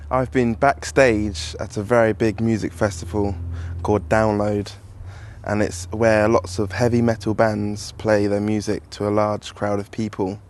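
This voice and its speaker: A man's voice: lowish voice, flat delivery, a little slow, a little mellow, a little hiss or hum. A man plainly narrating a personal anecdote as an interviewee.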